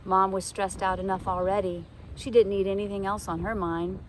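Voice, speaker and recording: A woman's voice: medium pitch, flat delivery, normal speed, slight background noise, poor audio. A woman reading a children's novel aloud like a teacher, with dramatic, suspenseful expression. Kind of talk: expressive storybook read-aloud.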